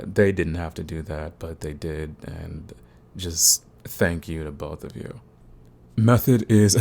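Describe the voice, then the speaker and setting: A man's voice: low voice, vocal fry, a little mellow, slight background noise. A man hosting his audio channel, giving a casual, rambling update to his listeners. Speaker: audio roleplay creator, channel host.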